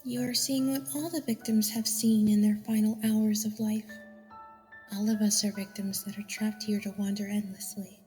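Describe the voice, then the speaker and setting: A woman's voice: mid-range voice, flat delivery, dark tone, close to an everyday voice. A woman voicing a solemn young ghost filly telling a grim tale, in long reverb with music underneath. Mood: solemn, sorrowful. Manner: solemn, measured storytelling.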